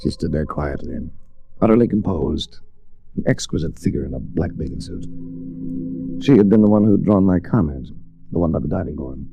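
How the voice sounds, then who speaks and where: A man's voice: deep voice, expressive, moderate pace, vocal fry, pauses often, dull audio, background noise. A man playing a cool, world-weary American adventurer in 1940s radio drama, laconic and dryly wry. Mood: brooding narration. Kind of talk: 1940s radio drama acting and first-person narration.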